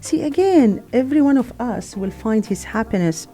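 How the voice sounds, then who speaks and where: Earnest mellow-voiced woman from Syria. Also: fairly high pitch, lively intonation, normal speed, soft background music. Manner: earnest, reflective, many "you know" fillers. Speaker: guest. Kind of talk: casual radio chat.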